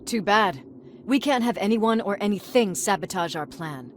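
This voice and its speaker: A woman's voice: mid-range voice, expressive, normal speed, a little hiss or hum, lightly acted. Woman acting as a blunt, hard-edged resistance commander. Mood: grim, controlled. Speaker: military field commander. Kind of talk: dramatic video game acting.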